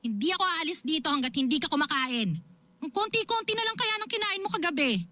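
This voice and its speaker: An actress playing a worried, nagging older sister in a radio drama, scolding and insistent with plenty of emotion. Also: female voice, high-pitched, expressive, quick pace, pushed and bright, a little hiss or hum. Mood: nagging, coaxing. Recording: music behind the voice.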